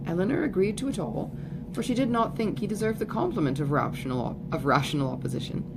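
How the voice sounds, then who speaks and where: A woman reading literary fiction aloud as scripted audiobook narration. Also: fairly low pitch. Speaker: audiobook reader. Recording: clearly noisy recording, background ambience.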